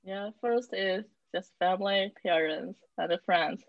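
A woman's voice: medium pitch, slow, dry recording. A woman from China, a PhD student, answering interview questions in plain, simple phrasing. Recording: dull, dry remote recording.

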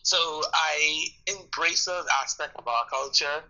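A man's voice: a little high, unhurried, pushed and bright, highs cut off. A man from the Bahamas with a Bahamian accent, answering interview questions casually and expressively, often repeating phrases for emphasis. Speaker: interviewee, tourism ministry worker.

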